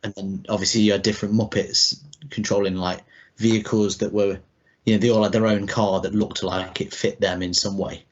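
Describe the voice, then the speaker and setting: Low male voice, casual podcast co-host, rambling and informal, dull lo-fi sound. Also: level tone, a little fast. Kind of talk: casual chat about retro cartoon video games. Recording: dull, muffled lo-fi audio.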